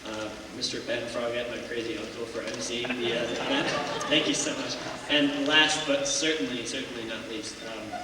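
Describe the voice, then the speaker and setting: A young college singer giving halting onstage thanks, with many ums and uhs. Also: male voice, mid-range voice, fairly even intonation, over a noisy crowd. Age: young adult. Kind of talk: casual onstage speech. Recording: echoey hall, mic far off, loud noise.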